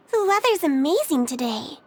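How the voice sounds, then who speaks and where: A woman's voice: very high-pitched, a little hiss or hum, exaggerated voice. A woman voicing a sweet, trusting, easily distracted little girl in a video game, innocent and often thinking aloud. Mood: cheerful.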